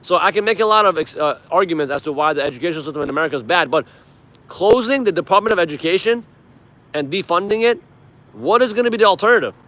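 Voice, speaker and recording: A man's voice: sits high, expressive, fast talker, pushed and bright. A man from Iran, a native Persian speaker, hosting a casual livestream and giving emphatic, informal political commentary with some swearing. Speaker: live stream host.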